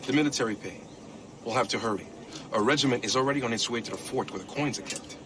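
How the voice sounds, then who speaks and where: A man's voice: medium pitch, fast, background noise, close to an everyday voice. A man playing a roguish, eager conspirator in a video game, sly and spirited. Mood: brisk, sly.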